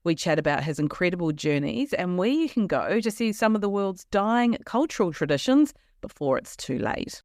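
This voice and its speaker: A woman with an Australian accent hosting a travel podcast, talking with lively enthusiasm and frequent restarts. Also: on the low side, moderate pace, hardly any pauses, no room sound, clean studio sound. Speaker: podcast host. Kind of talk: casual interview chat.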